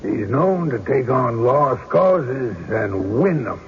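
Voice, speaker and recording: A folksy small-town fella, calm and practical, mid-pitched, muffled old radio drama sound. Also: male voice, slow, husky, exaggerated voice. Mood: reassuring, dry. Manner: folksy, matter-of-fact. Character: plain-spoken, practical small-town man, calm and skeptical. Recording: dull radio recording, faint noise.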